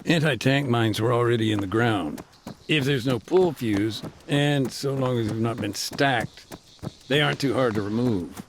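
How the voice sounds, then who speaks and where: A middle-aged man voicing a frank former combat engineer turned demining worker, measured and matter-of-fact in a game cutscene. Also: medium pitch, background noise, lightly acted. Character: weary male ex-soldier turned deminer, frank. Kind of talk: voiced game cutscene dialogue.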